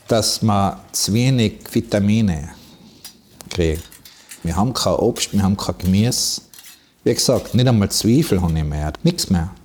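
A man's voice: on the low side, a little mellow, breathy edge. A man with an Austrian accent playing a worried, resigned ship's cook in a radio play, chatting in a rambling, colloquial way. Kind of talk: conversational radio play monologue.

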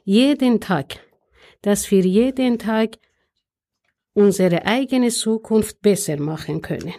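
Slow, careful woman. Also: mid-range voice, creaky, dark tone. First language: Persian. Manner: simple, careful phrasing.

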